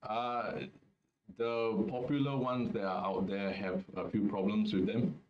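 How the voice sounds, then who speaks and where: A young man from Singapore hosts a student webinar in a casual, halting way, full of fillers. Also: on the low side, steady tone, reverberant, dull audio, a little hiss or hum. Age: young adult. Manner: hesitant, many fillers and restarts. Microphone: video call.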